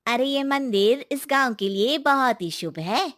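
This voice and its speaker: A woman's voice: sits high, lively intonation, measured pace, fairly bright tone, dry recording, clean studio sound. A woman voicing a kindly, secretive young village woman in a cartoon, speaking in an emphatic, reassuring, colloquial way. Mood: explaining. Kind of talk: Hindi moral-story cartoon dialogue.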